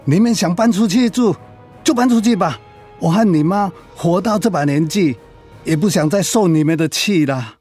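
A man's voice: sits high, moderate pace, darkish tone, breathy edge, soft background music. A man playing a stern, offended elderly father in a radio drama, admonishing the younger generation. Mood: angry, hurt.

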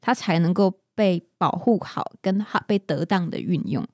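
A woman's voice: on the low side, animated, moderate pace, no room sound, clean studio sound. A woman with a Taiwanese Mandarin accent hosts a podcast in a reflective, conversational way, speaking earnestly and articulately. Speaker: podcast host.

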